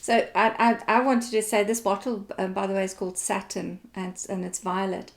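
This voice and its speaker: This is a South African woman with a mid-pitched voice, hosting a live chat show. She talks informally and effusively, encouraging her guest and greeting listeners as she goes. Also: steady tone, a little fast. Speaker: talk show host.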